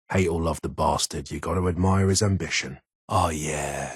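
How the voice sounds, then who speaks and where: An actor playing a gruff, irritable older ghoul weapons engineer in a video game, with a British accent, a gravelly rasp and a curt, guarded manner. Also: male voice, low-pitched, a bit bright, no echo, studio quality, full character voice. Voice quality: raspy, gravelly. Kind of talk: video game character dialogue.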